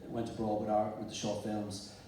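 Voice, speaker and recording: A man's voice: on the low side, level tone, normal speed, mellow, a little hiss or hum. A man, a film director, talking thoughtfully and modestly with some hesitation as a guest on a live panel. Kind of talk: live onstage panel talk. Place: large indoor venue before an audience. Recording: echoey hall from far off.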